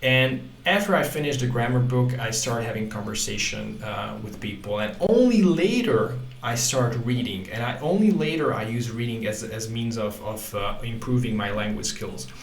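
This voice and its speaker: A man hosting a language-learning channel, talking casually to camera in halting English with many restarts. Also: medium pitch, expressive. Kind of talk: casual talk to camera, reading quotes aloud. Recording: echoey room with faint ambient noise.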